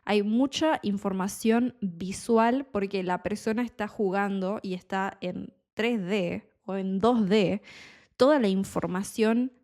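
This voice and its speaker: Slow, deliberate woman from Buenos Aires. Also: mid-range voice, a little mellow. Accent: Buenos Aires Spanish. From Argentina. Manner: deliberate, explains words as she goes. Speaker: Spanish tutor. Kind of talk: slow, clear teaching monologue.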